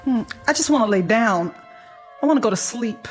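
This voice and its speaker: A frightened American wife and mother in a radio drama played by an actress. Her high voice falters and frets with despair. Faint music sits underneath. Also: expressive, moderate pace, close to an everyday voice. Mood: weary fear. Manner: fretful, faltering.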